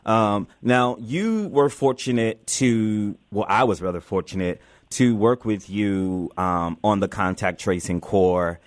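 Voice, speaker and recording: A man's voice: fairly low pitch, takes it slowly, few pauses, no echo. A man hosting a live community radio interview show, often repeating himself as he goes.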